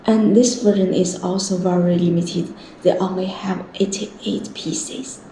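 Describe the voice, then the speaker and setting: A woman's voice: on the low side, fairly even intonation, unhurried, mellow. A woman presenting a casual product first look as a video host. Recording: roomy echo, clear background noise.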